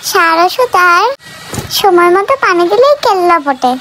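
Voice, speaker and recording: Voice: very high-pitched, animated, moderate pace, bright, forceful tone, heavily acted. A performer voicing a henpecked, timid male peasant creature in a comic cartoon, whiny and exclamatory. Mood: fearful, exasperated. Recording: noisy cartoon soundtrack.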